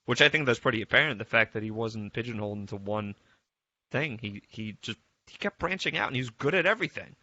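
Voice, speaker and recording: A man's voice: medium pitch, lively intonation, fast, sharp tone. A man co-hosting a casual chat, telling stories about a friend in a joking, sometimes profane way with frequent laughs. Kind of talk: casual animated storytelling among friends. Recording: dull lo-fi audio, faint music.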